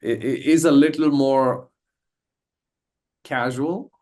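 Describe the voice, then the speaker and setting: A man's voice: medium pitch, slow pace, dark tone. A man hosting a podcast interview in a casual, affirming way, with many hesitant fillers. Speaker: podcast host.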